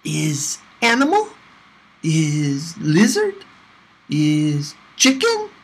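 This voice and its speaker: Man putting on a high, eager little boy. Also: wildly swooping pitch, breathy edge, heavily acted. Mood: playful, curious. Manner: halting, eager questioning in clipped phrases. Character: playful, pestering little boy with broken English.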